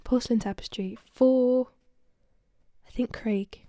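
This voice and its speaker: A woman talks softly between songs from a small, cosy corner of her home, her voice a little nasal and stuffy from a blocked nose. She keeps it casual and often drops into quiet, whispered asides. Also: a little high, steady tone, takes it slowly, dry recording, clean studio sound. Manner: soft-spoken, drifting into quiet whispered asides. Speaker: singer-songwriter performing a livestream concert. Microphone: home livestream setup.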